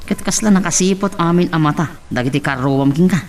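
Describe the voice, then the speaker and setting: A man's voice: a little high, a little fast, close to an everyday voice. A man with an Ilocano accent acting a sly, smug schemer who drawls and chuckles in an audio drama. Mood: smug, scheming. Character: sly, chuckling male schemer talking money with his buddy.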